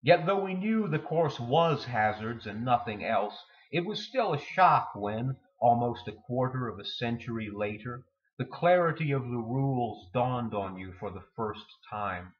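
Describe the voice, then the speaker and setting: A man in his forties reading poetry aloud in a measured, literary way, pausing between phrases. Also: mid-range voice, animated, dull audio. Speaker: poetry reader. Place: indoor room with bookshelves.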